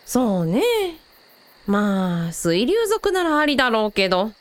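A woman playing an affectionate, perceptive mother with a gentle, expressive manner in a Japanese-style radio drama. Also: medium pitch, measured pace, dry recording.

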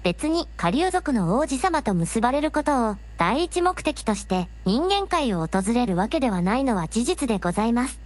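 A woman's voice: on the high side, sing-song, moderate pace, few pauses, a little mellow. A woman playing a polite young fantasy princess who speaks very formally in an audio drama. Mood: gracious, devoted. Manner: very formal, courteous speech. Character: polite, gracious young dragon-clan princess in human form. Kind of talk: Japanese audio drama acting.

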